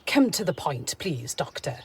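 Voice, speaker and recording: A woman's voice: sits low, big pitch swings, takes it slowly, fairly bright tone, breathy edge, exaggerated voice. A woman playing a curt, defensive retiring nurse in her sixties in a radio drama. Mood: brusque. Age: 60s. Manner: brusque, defensive.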